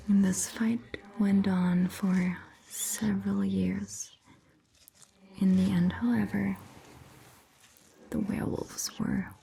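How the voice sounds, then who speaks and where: A woman playing a calm, attentive vampire doctor in a soft, breathy ASMR roleplay whisper, with measured, gentle phrasing. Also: mid-range voice, a little hiss or hum, low-quality recording. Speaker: ASMR performer.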